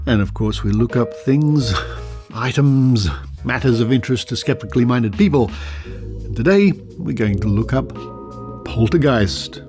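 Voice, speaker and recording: A man's voice: medium pitch, animated, a little slow, over music. An Australian man hosting a podcast, reading aloud with asides and theatrical emphasis. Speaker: podcast host.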